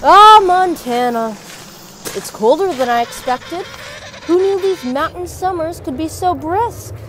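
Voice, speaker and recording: A grandiose, self-satisfied rich husband fills this radio play. The voice sits high and is lofty and entitled. Faint noise hangs behind it. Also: female voice, expressive, unhurried, fairly bright tone, full character voice, rough recording. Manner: pompous, grandiose.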